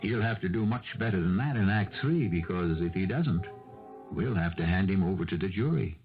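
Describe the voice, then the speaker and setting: A man hosting a radio mystery drama with theatrical scripted narration, wry, deliberate and full of rhetorical questions. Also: sits low, expressive. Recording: muffled old radio broadcast recording. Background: loud music.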